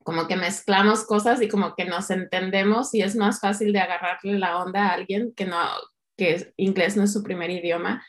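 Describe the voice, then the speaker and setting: A woman talks things through in a relaxed chat. She explains at length and restarts her sentences as she thinks. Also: mid-range voice, brisk. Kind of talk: casual chat.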